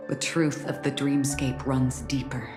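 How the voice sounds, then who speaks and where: Very low-voiced, dignified woman ally. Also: flat delivery, roomy sound, over music. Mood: earnest, resolute. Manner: measured, serious, courteous. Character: calm, earnest, dignified woman guide and ally. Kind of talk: video game story dialogue.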